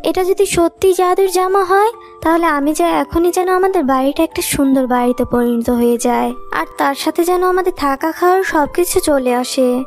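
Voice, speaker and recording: Woman as young cartoon schoolgirl: very high pitch, poor but proud, earnest, polite. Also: normal speed, darkish tone, soft background music. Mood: hopeful. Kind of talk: cartoon voice acting.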